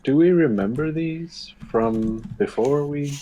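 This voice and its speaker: A man's voice: a little high, slow, mellow, slight background noise, rough recording. A man playing a tabletop game, asking curious, upbeat questions in casual chat. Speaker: tabletop player.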